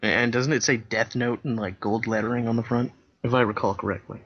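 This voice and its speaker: Deadpan man reading, slightly lo-fi. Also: mid-range voice, fairly quick. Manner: deadpan narration with dry asides. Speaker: co-host reading a fan fiction aloud. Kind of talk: comedic dramatic reading.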